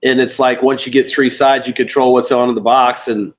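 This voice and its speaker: A man's voice: medium pitch, little pitch movement, brisk. A man in a casual online discussion, rambling and searching for the right words.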